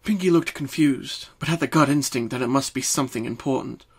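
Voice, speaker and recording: Guy narrating a story, dramatic reading, medium pitched voice. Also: a little fast, noisy background.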